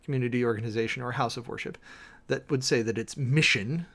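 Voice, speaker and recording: A man giving a dramatic reading and close commentary in a formal, deliberate, sermon-like manner with emphatic pauses. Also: medium pitch, vocal fry, a bit bright. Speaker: preacher and commentator hosting a show.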